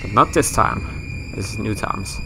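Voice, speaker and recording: A man's voice: fairly low pitch, measured pace, creaky, over music, low-quality recording. A man from Sweden with a Swedish accent, rambling and joking his way through a horror game let's play. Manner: rambling, self-mocking, swears casually. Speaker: gaming YouTuber.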